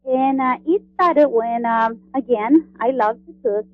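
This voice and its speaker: A woman from Honduras, a guest on a radio interview, speaking in a friendly, halting way with many fillers. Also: fairly high pitch, phone-quality sound. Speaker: guest, cookbook author.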